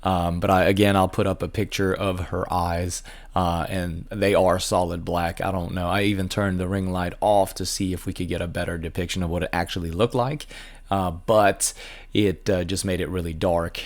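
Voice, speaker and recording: Raspy deep-voiced man, casual. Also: normal speed. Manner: relaxed, unscripted, many ums and uhs. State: raspy from a sinus infection. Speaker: hognose snake breeder. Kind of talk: casual explanatory show-and-tell.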